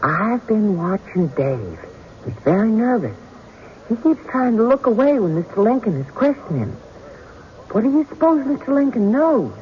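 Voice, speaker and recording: A devoted, anxious wife in a radio drama, fretful and dramatic. Her pitch is very lively, and the sound is thin and phone-like with faint noise behind it. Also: moderate pace. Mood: anxious, hushed. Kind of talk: old-time radio drama acting. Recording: tinny narrow-band radio recording, faint noise.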